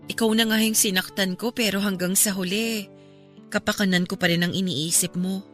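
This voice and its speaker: A woman's voice: mid-range voice, fairly even intonation, moderate pace, fairly bright tone, soft background music, lightly acted. A woman acting a caring, wistful young ex-girlfriend in a Filipino radio drama.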